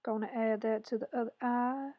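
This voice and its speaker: A woman with a hoarse, raspy voice chatting through a makeup tutorial voice-over, rambling and correcting herself as she goes. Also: on the high side, fairly even intonation, a little fast, no room sound, highs cut off. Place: voice-over recorded separately from the video.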